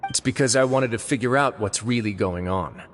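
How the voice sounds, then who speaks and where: A man voicing a composed male duke who speaks in a calm, dry, measured way. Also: fairly low pitch, close to an everyday voice. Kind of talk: game voice acting. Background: game music.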